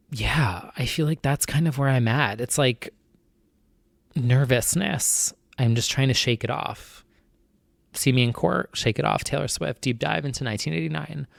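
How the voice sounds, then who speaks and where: Young guy podcast host, creaky voice, rambly nervous chat, dry close mic. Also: medium pitch, dry recording. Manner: anxious, rambling, many fillers and restarts. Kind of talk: casual solo chat.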